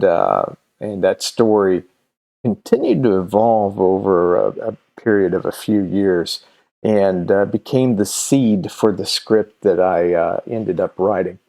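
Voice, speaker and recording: A man in his fifties talks casually to his viewers in a fairly low, relaxed voice. His delivery is very slow and hesitant, as he keeps searching for the next word. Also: vocal fry. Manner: halting, hesitant, searching for words. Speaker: comic creator and channel host. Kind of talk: casual promotional vlog talk. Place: small room at home, indoors.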